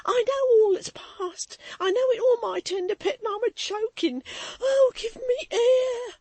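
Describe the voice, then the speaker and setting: A woman voicing an excitable young maid who talks volubly between sobs and laughs. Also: measured pace, no echo. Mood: hysterical. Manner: shrill, sobbing, gushing.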